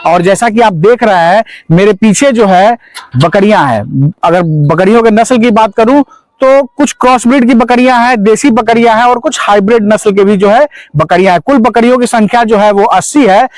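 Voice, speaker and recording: A man's voice: high-pitched, moderate pace, no echo, poor audio. A man hosting a farming video, explaining things and summing up as he presents and interviews at a goat farm. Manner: explanatory, repeats and sums up answers. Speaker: host of a farming video channel.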